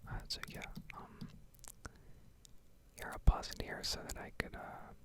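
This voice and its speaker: Whispering woman, ASMR gaming ramble. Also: unhurried. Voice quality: breathy, unvoiced whisper. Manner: rambling, analytical, explanatory. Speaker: ASMR gaming video host.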